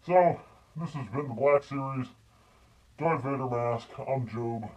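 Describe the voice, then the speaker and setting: Male reviewer, unhurried and mellow, casual delivery, muffled from inside a helmet, dull sound. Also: mid-range voice. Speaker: YouTube product reviewer and host. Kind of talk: casual review sign-off while wearing a helmet.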